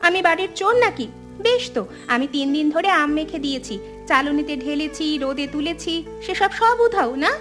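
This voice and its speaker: Woman as a high-pitched feisty younger sister. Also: faint music behind. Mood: indignant. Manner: animated, quick to protest. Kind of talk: animated family drama dialogue.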